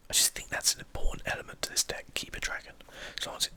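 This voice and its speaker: A whispered ASMR commentary over gameplay, almost entirely unvoiced. The whisper is breathy and very bright, with a sharp, airy edge. The speaker muses aloud and takes time over each thought. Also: rapid-fire. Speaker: host of a game play channel.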